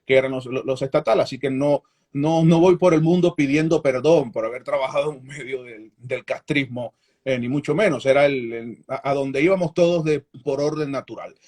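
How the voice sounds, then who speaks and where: A middle-aged Cuban man with a Cuban accent, a journalist answering interview questions in a measured, candid way with frequent restarts. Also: mid-range voice, a little mellow. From Cuba. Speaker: journalist guest.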